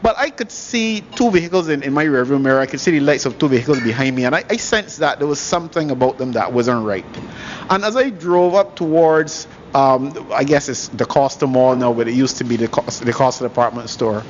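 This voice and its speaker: A man, a radio cricket commentator telling a story in casual on-air chat, halting and repeating himself. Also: medium pitch, expressive. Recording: dull broadcast audio with faint hiss.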